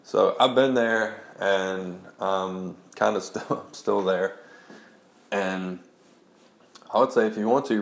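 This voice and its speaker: Man, very low voice, gravelly, blocked-up nose from a cold, rambling casual talk, echoey room. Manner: rambling, many restarts and "like"s. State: congested head cold, stuffy nose. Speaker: blogger and memoir writer. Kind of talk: casual spoken audio blog.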